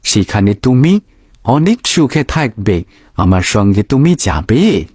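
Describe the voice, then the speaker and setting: An actor playing a kindly cartoon stranger in the woods, coaxing and tender in manner. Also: male voice, fairly low pitch, big pitch swings, slow, a little mellow, breathy edge, dry recording, clean studio sound, heavily acted. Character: kindly, coaxing man met in deep forest. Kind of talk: Bengali cartoon voice acting.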